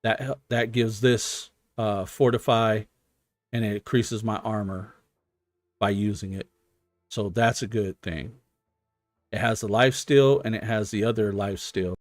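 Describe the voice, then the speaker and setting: A man giving casual gaming stream commentary, explaining things hesitantly as he thinks out loud. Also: fairly low pitch, fairly even intonation, a little slow, no echo, studio quality, faint music behind. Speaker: game streamer.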